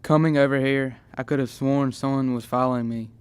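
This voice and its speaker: A man's voice: medium pitch, steady tone, a little fast, a little mellow, clear, resonant tone, no echo, natural voice. A teenage male playing an anxious young boy in an amateur radio play, speaking in plain folksy phrases. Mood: spooked. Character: Kip, anxious young boy, spooked and wondering. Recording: music under the voice.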